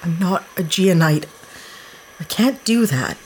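An actress playing a brash, trigger-happy young heroine who talks bluntly and snappily. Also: female voice, fairly low pitch, expressive, slow pace, a little hiss or hum, poor audio.

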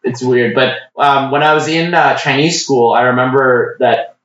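A man's voice: medium pitch, normal speed, fairly bright tone. A man hosting a casual chat, rambling with lots of filler words. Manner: rambling, heavy on "like" and "um". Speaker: podcast host. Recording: roomy, distant, dull.

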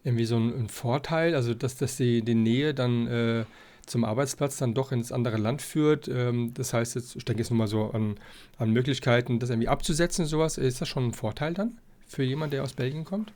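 A man hosting a casual podcast, talking in a halting, wordy way and circling around his point. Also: medium pitch, a little mellow. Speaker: podcast host. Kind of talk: rambling, casual podcast introduction and interview.

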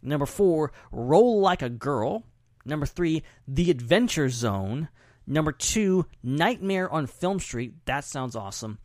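A male podcast host with a fairly high voice, recorded very dry with little room sound. He chats casually and loosely, rambling a bit and slipping in playful remarks. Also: big pitch swings, unhurried, a little mellow, no echo. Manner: jokey, informal, rambling.

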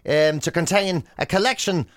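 A man's voice: on the high side, moderate pace, fairly bright tone, no echo, clean studio sound. An Irish man co-hosting a radio show, chatting casually and telling funny stories in a jokey, mock-enthusiastic way.

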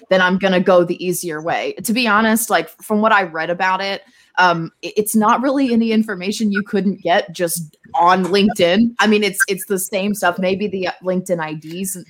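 Female guest for a casual discussion show, mid-range voice, frank and playful delivery. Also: medium pitch. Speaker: podcast guest.

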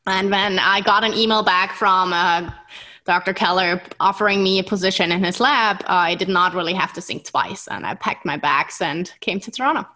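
A woman with a German accent, a native German speaker, talking with excitable enthusiasm as a scientist guest in an interview. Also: mid-range voice, moderate pace. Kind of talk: interview conversation.

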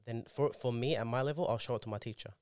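Man, calligrapher guest, eager and explanatory, quick pace, dry close sound. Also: medium pitch, darkish tone, no echo. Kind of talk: casual podcast conversation.

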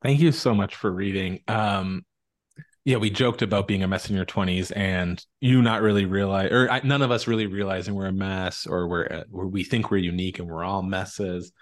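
Very low-voiced man hosting a podcast. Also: steady tone, normal speed. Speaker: podcast host, interviewer. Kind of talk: casual interview chat.

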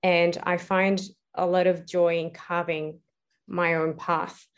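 Reflective woman with a lowish voice. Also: level tone, slow pace, highs cut off. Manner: reflective, many fillers and restarts. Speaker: guest, entrepreneur. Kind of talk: casual interview answers.

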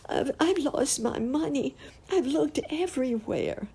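A woman's voice: lowish voice, big pitch swings, mellow, slightly rough, full character voice. A woman voicing an emotional little girl in a storybook tale. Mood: tearful. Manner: halting, sobbing stammer when upset. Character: little girl, tearful, doubtful, then delighted.

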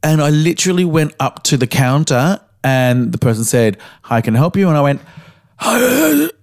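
Slightly hoarse Australian man. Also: fairly high pitch, big pitch swings, moderate pace, no echo, studio quality. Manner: frank, storytelling, casual swearing. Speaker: podcast co-host. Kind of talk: casual podcast chat.

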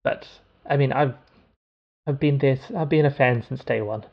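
Podcast co-host, New man, gravelly voice, hesitant rambling chat, muffled lo-fi audio. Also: medium pitch, normal speed. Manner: hesitant, rambling, with many ums and restarts. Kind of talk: casual chat.

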